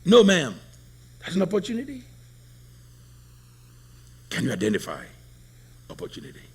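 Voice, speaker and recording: A male pastor delivers a sermon in a husky, somewhat high voice. He is emphatic and drives points home by repeating them. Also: wildly swooping pitch, normal speed, a little mellow. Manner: emphatic, repeats phrases for stress.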